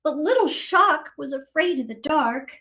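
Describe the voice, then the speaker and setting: High, animated woman reading to children. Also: measured pace. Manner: expressive, chatty asides to young listeners. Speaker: storytime host reading to children. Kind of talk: animated picture-book read-aloud.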